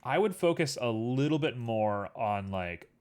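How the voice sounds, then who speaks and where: A man chatting casually with his stream audience while he works at a computer. He talks in a relaxed, rambling way full of fillers and sounds a little sniffly. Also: mid-range voice, unhurried, smooth. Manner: easygoing, rambling, filler-heavy. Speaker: livestreamer. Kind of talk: casual chat while working on a 3D model.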